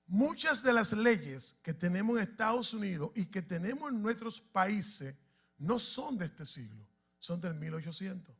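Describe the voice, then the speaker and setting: Emphatic high-voiced pastor. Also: male voice, very animated, measured pace, breathy edge. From Dominican Republic and Puerto Rico. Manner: emphatic, asks the congregation questions. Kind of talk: sermon teaching to a congregation.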